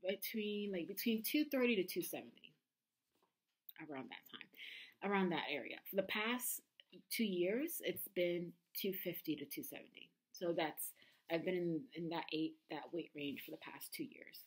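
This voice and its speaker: A woman in her thirties talks candidly to her camera at home, pausing often with ums and restarting sentences. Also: fairly low pitch, lively intonation, husky, poor audio. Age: 30s. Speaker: vlogger. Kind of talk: personal confessional vlog.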